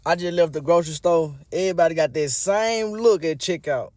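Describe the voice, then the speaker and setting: A man's voice: fairly high pitch, fairly quick, darkish tone, dry recording, studio quality. A man comically and profanely griping to camera. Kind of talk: storytelling to camera.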